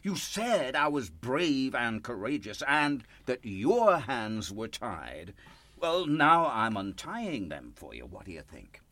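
A man's voice: medium pitch, big pitch swings, slow, sharp tone, dry recording, heavily acted. An actor playing a desperate, bitter, insistent man in a radio play. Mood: eager, persuasive. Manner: insistent, sardonic, emotionally volatile. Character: desperate, bitter man pleading and manipulating his pastor for money.

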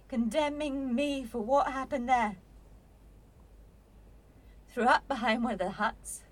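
A woman's voice: a little high, low-quality recording. An actress playing a bitter, defensive young woman in a radio play, sarcastic and combative. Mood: bitter, wounded.

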